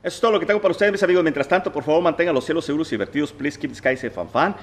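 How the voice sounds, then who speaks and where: A man's voice: fairly high pitch, very fast. A man with a Mexican accent, speaking casually to followers as a video host. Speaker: video host and flight instructor.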